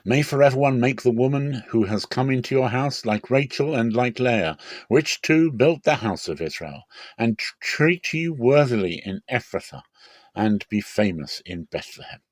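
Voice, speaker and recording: A man's voice: medium pitch, animated, moderate pace, no room sound, voice pushed up, lightly acted. Casting: male reader as townsfolk of scripture, exalted proclamation of blessing, declamatory storybook delivery, dry recording. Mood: solemn blessing. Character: townspeople and women proclaiming a solemn joyful blessing.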